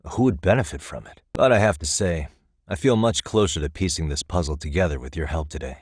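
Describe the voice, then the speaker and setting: An American man voicing a level-headed, thoughtful detective in a video game, with measured, articulate delivery as he reasons aloud. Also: very deep voice, slightly rough, dry recording, studio quality. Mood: analytical, serious. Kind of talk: scripted game dialogue.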